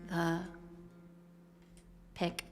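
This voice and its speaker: A young woman streaming as a VTuber, chatting in a rambling, self-deprecating way between songs while playing guitar. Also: extremely slow. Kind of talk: casual live-stream chat between songs. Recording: long reverb with loud guitar.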